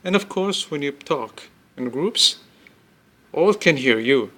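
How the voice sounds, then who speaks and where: A man presenting an informal product demonstration, explaining step by step in halting phrases and repeating himself. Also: fairly high pitch, animated, bright, forceful tone. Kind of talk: informal spoken product demo.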